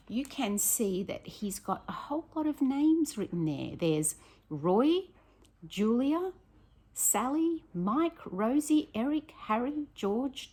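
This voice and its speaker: A woman primary school teacher reading a picture book aloud to children, expressive and prompting her listeners with questions, in a slightly husky voice. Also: mid-range voice, slight background noise.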